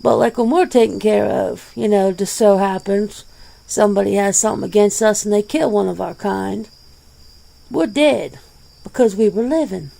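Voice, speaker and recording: Woman playing a mellow, creaky, laid-back werewolf. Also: mid-range voice. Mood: explaining. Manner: blunt, casual, swearing, conversational. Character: jaded, defensive werewolf in their forties, plain-spoken. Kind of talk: ASMR roleplay of an interview.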